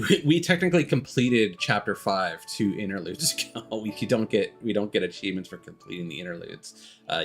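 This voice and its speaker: A man's voice: on the low side, fairly bright tone. A man giving casual, rambling game stream commentary, often correcting himself and swearing freely.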